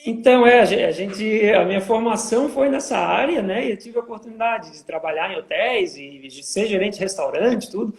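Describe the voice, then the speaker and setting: Casting: man, light high voice, steady explainer, echoey video-call room. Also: vocal fry. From Florianópolis, southern Brazil. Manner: measured, explains with many fillers. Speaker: guest, vacation rental manager. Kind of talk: casual live interview.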